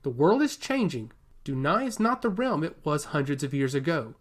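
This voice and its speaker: Storyteller as young male diplomat villain: haughty, formal, coolly menacing, animated pitch. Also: normal speed. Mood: cold menace. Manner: imperious, formal, coolly threatening. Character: arrogant young male envoy of a rival duchy.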